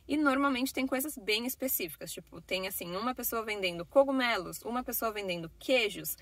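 A woman's voice: mid-range voice, bright, forceful tone, no room sound. A young woman with a Brazilian Portuguese accent, chatting casually to her phone camera from a parked car in a vlog, full of little fillers. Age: young adult. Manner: chatty, talking with her hands, many fillers like "né" and "assim". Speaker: vlogger. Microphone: phone camera at arm's length.

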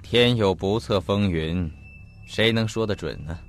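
Deep-voiced, earnest male investigator. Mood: grave, explanatory. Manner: formal, deliberate, detailed. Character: earnest male investigator recounting a mysterious disappearance. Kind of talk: Chinese audio drama acting, measured storytelling delivery. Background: faint background music.

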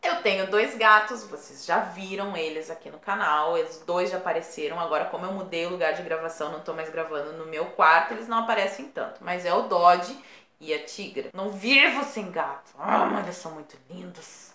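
A woman's voice: lowish voice, fairly quick, roomy sound, slight background noise. Chatty woman with a southern Brazilian accent. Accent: Rio Grande do Sul Brazilian Portuguese. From born in São Paulo, raised in Rio Grande do Sul. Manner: chatty, playful, expressive. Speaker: YouTuber. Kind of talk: casual vlog to camera. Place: small room indoors. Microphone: camera at close range.

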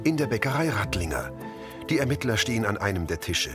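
A man's voice: fairly low pitch, big pitch swings, brisk, loud music behind the voice. A man reading scripted audio description narration for a television show.

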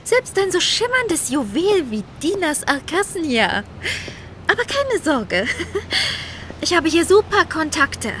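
Actress doing gossipy rich journalist lady, very bright breezy voice, audio drama, noisy city ambience behind. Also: very high voice, sing-song, slow pace, full character voice. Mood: breezy, smug. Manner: breezy, drawling, theatrical sighs and giggles. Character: rich, flippant, gossipy female journalist with underworld contacts.

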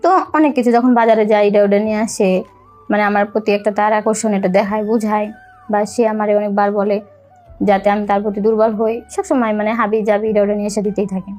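A young woman with a Bangladeshi accent telling a personal story in a halting, repetitive, colloquial way. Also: mid-range voice, flat delivery, mellow. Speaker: storyteller recounting own life. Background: music.

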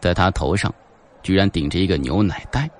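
A man's voice: low voice, brisk. A man narrating a suspense audiobook in a dramatic, scripted storytelling style.

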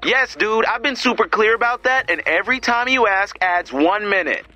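A sincere game soldier, dutiful, slightly high male voice, music loud behind him. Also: lively intonation, moderate pace, dull audio, full character voice. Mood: exasperated. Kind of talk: video game mission dialogue.

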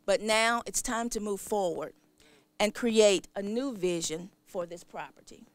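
A woman's voice: mid-range voice, unhurried, slight background noise. A middle-aged American woman delivering a scripted public announcement as a department director. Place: open parking lot outdoors. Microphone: podium microphones.

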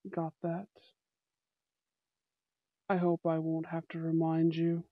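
A possessive teenage bad boy, acted by a woman, speaking slowly in an ASMR romance roleplay. He is commanding, teasing and intimate, on a dry, slightly dull recording. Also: sits low, monotone, dry recording, voice pushed down.

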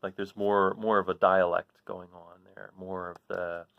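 An American man in his forties from New Jersey, chatting casually and haltingly as he explains things to children. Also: low voice, level tone, vocal fry, narrow, tinny audio. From New Jersey, United States. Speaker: read-aloud host. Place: small living room, seated in an armchair.

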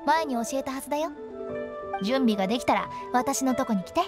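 Brisk, confident young female undertaker boss for an anime game; high, very lively delivery. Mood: confident, commanding. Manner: animated, confident, bossy. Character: brisk, playful young female funeral parlor director. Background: background music.

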